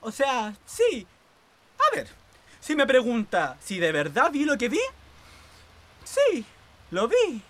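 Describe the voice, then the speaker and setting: Actress doing a jumpy chilean maid in a radio drama, super dramatic and deferential, voice all over the place, music behind. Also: female voice, a little high, huge pitch swings, slow, pauses often, heavily acted. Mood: confused, anxious. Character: Nélida, excitable, fearful, superstitious housemaid from the south. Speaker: actor in a radio play. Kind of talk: Spanish-language radio theatre acting.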